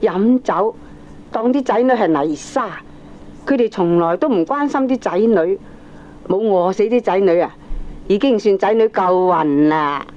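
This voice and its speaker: An actress with a Hong Kong accent playing an opinionated middle-aged aunt, lecturing firmly and emphatically in a radio drama. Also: female voice, mid-range voice, lively intonation, measured pace, darkish tone, natural voice. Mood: preachy, assured. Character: opinionated aunt, firm, preachy family adviser. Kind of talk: Cantonese radio drama acting. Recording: dull, slightly noisy old cassette recording.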